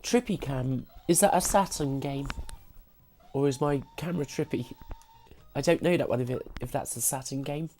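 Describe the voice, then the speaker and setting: Casting: woman game streamer, low mellow husky voice as if getting over sickness, casual and apologetic, music behind. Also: moderate pace. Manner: self-deprecating, apologetic, giggly. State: recovering from sickness. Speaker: live streamer playing retro games. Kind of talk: casual live-stream gaming chat.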